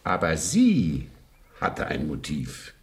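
An actor playing a gruff but jovial police chief in a radio crime drama, gravelly, blunt and hearty. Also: male voice, extremely low pitch, wildly swooping pitch, slow pace, heavily acted. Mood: blunt, determined. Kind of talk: 1970s German radio crime drama acting.

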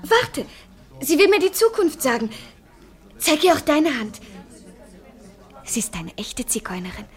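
A woman's voice: high-pitched, sing-song, measured pace, pauses often. An actress playing a naive, tipsy, wistful young woman in a radio play, speaking in a plaintive, searching manner. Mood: eager, dreamy.

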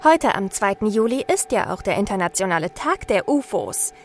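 An actress playing a young lieutenant in a satirical science-fiction radio play, earnest, polite and dutifully formal. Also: female voice, medium pitch, very animated, normal speed, faint music behind.

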